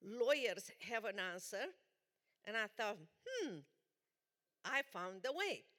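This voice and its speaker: A woman from Romania with a Romanian accent, giving an earnest, deliberate personal testimony as a guest speaker in a church. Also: on the high side, big pitch swings, sharp tone. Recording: long church hall reverb.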